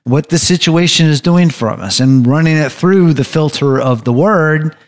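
A man leading a bible study group, teaching in a halting, staccato way with stops and restarts. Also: fairly high pitch.

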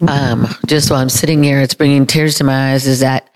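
A woman with a deep voice sharing candidly in a church group, emotional and halting, with many fillers. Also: flat delivery, a little mellow. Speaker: group member.

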